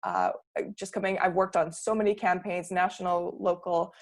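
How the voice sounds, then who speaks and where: A woman's voice: fairly low pitch, fairly even intonation, moderate pace. A woman from Canada speaking on a panel, earnest and opinionated, often restarting her sentences. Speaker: panelist, political campaigner. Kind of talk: casual discussion.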